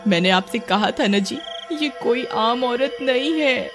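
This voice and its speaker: A high-voiced village wife in a cartoon horror tale, played by a woman storyteller. She is superstitious and bossy, protesting in fearful, scolding exclamations. Music plays clearly behind her. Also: expressive, measured pace, a bit bright. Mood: alarmed, scolding. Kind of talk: Hindi animated horror story dialogue.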